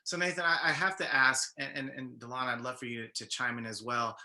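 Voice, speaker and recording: A man's voice: medium pitch, steady tone, brisk, sharp tone. A man hosting a talk show, putting questions to guests in a conversational broadcast style. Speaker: talk show host, interviewer.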